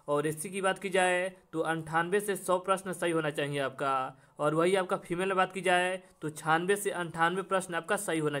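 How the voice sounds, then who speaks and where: A man's voice: sits high, a bit bright. A man hosting a coaching channel, explaining exam information step by step and addressing students directly in a repetitive way. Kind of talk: explanatory exam update presentation.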